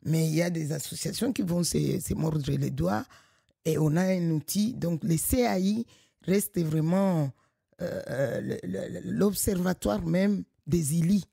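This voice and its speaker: A middle-aged woman from Congo, director of a local association, speaking in an animated, emphatic way with frequent restarts. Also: on the low side, measured pace, creaky, breathy edge, no room sound, studio quality. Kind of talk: conversational podcast interview.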